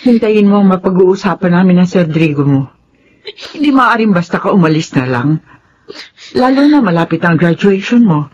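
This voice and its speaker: A woman's voice: on the low side, expressive, normal speed, darkish tone. An actress playing a compassionate middle-aged mother in a Filipino radio drama. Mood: concerned, firm. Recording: dull, lo-fi radio recording.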